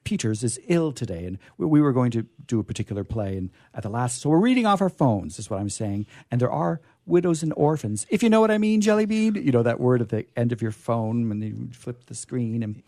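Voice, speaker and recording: Mellow male host, chatty and rambling. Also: medium pitch, very animated, dry recording. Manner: rambling, halting, jokey asides. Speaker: host and reader of a radio players' show. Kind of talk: chatty, off-the-cuff introduction.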